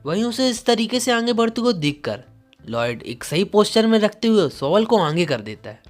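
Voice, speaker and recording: Male narrator, fairly high voice, lively swinging intonation, dramatic scripted recap, background music. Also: moderate pace. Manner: animated storytelling, voicing quoted dialogue. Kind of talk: dramatic scripted story narration in Hindi with some English words.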